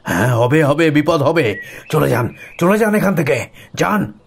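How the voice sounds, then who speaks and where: An actor playing an agitated village priest giving foreboding warnings in an audio drama. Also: male voice, on the high side, big pitch swings, a little slow, gravelly, breathy edge, exaggerated voice. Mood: urgent, alarmed. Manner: urgent, repetitive, foreboding.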